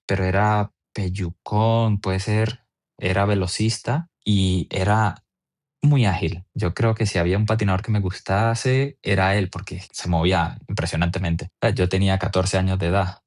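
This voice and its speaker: A man's voice: low-pitched, a little mellow. A man in his twenties with a Venezuelan accent, telling his personal story as an athlete being interviewed. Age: 20s.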